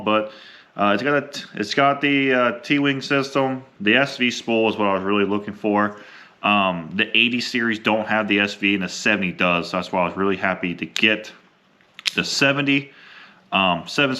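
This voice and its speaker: A young adult man with a slightly hoarse voice from a cold, chatting casually and rambling with many ums while unboxing fishing gear. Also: fairly low pitch, sharp tone. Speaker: fishing vlogger.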